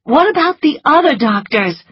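A woman's voice: sits high, big pitch swings, moderate pace, no echo, highs cut off, pitched above the performer's own voice. A woman reading a story aloud, voicing a headstrong young lady who speaks urgently and gives orders. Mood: commanding. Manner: commanding, pleading, urgent.

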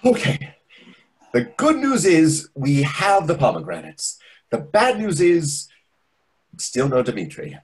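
Waiter for a play reading: needy complainer, husky, highly animated delivery. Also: male voice, slow pace, long pauses, heavily acted. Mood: agitated, distressed. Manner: confessional, complaining. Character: self-pitying, needy, chatty male waiter stuck in depression. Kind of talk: readers theatre over video call. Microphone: video call.

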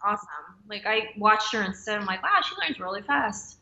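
A woman's voice: medium pitch, lively intonation, a little fast, creaky, bright, forceful tone, echoey room, muffled recording. A woman, an American stunt performer, chatting casually as a podcast guest, loose and easygoing with many "yeah"s and "like"s. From United States. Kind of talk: casual interview chat.

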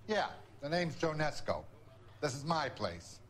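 An actor playing a gruff older diner owner in a video game, blunt and terse. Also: male voice, fairly high pitch, very animated, a little slow, fairly bright tone, a little hiss or hum. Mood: grudging. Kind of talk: cinematic video game acting.

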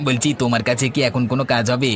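A man's voice: mid-range voice, level tone, racing, some chatter behind, a little hiss or hum, lightly acted. A man playing an eager, outspoken young eatery worker in a cartoon. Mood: eager, flattering. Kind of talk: Bengali cartoon dialogue.

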